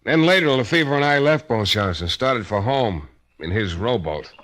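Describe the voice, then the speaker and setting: A man's voice: mid-range voice, lively intonation, moderate pace, fairly bright tone, lightly acted. An actor playing a tough, wry private detective who narrates his own case in a clipped 1940s radio style. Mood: weary, wry. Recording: noisy vintage radio recording.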